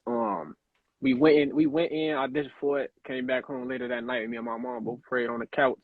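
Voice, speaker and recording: Laid-back young man from Chicago, gravelly. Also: mid-range voice, fairly quick, no room sound. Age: young adult. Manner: laid-back, rambling with many fillers. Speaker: actor guest. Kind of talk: casual interview chat. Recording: tinny phone-like line.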